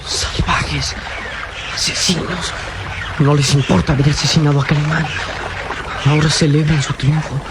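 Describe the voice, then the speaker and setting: A man's voice: fairly high pitch, steady tone, moderate pace, pauses often, heavy background noise, lightly acted. An actor playing a frightened but determined young boy in a radio drama, talking urgently to himself. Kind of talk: 1960s Mexican radio drama acting. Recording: old radio serial recording with loud music.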